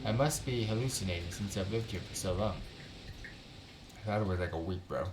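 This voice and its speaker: A man's voice: sits low, fairly quick, darkish tone, soft background music. A man hosting a gaming video, reading a visual novel aloud with casual reactions.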